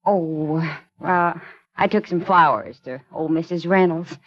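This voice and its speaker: An actress playing a kindly, earnest newspaper assistant with a coaxing manner, in a 1940s radio play. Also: female voice, lowish voice, unhurried, fairly bright tone. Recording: dull old radio broadcast.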